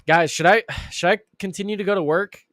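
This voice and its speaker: Male live-show host with a raspy, slightly sick voice, mid pitch, rambling delivery, dry close sound. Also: lively intonation, moderate pace, fairly bright tone, no echo. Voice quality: raspy, deeper than usual. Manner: rambling, many restarts and fillers. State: sick, clearing throat and coughing. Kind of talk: casual live-stream commentary.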